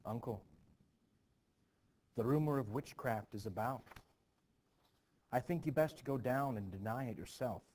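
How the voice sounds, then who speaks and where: A man reading the part of a preacher's composed young niece, giving measured advice in a stage play. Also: medium pitch, darkish tone, natural voice. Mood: concerned, composed.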